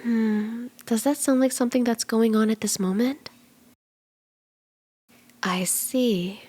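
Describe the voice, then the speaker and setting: A woman voicing a flirty, soothing kitsune fortune teller in an ASMR roleplay, speaking gently and coaxingly, at times in breathy whispers. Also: on the high side, slow pace, dry recording. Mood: soothing, mystical. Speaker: tarot reader.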